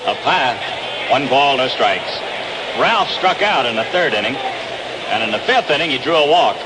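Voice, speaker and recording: A man's voice: medium pitch, lively intonation, normal speed, noisy background. An American man calling baseball play-by-play live on an old 1960s radio broadcast. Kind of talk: live radio sports commentary. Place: open-air ballpark broadcast position.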